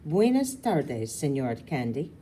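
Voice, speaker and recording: Elegant female spa manager, acted by a woman reader, formal and over-friendly, animated, mellow storybook delivery. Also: a bass voice, slow, slight background noise, exaggerated voice. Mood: cool, formal.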